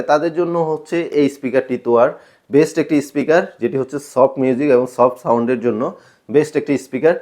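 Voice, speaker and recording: Man, Bangladeshi accent, medium pitch, informal shop presenter, explanatory sales talk, repeats key points. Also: darkish tone. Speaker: shop presenter selling sound equipment. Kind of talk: informal product showcase to viewers.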